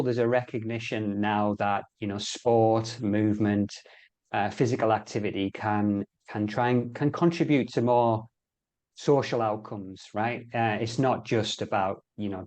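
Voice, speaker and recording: A man's voice: on the low side, darkish tone. A middle-aged man with an English accent, speaking as an interview guest in casual chat, with hesitant phrasing and repeated words. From England. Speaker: interview guest in sport and health sector.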